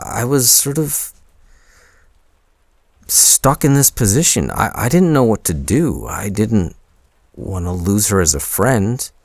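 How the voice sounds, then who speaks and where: A man acts out a smitten young man, bashful and hesitant, in an intimate boyfriend audio drama. His voice is low and recorded dry and close. He takes his time, stammering softly as he works toward confessing to his crush. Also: gravelly, dry recording, full character voice. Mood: awkward, candid.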